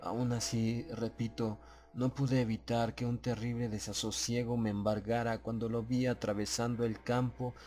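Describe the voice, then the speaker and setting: A man narrating a scripted horror audiobook in a grave, measured, suspenseful way. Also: medium pitch, level tone, darkish tone.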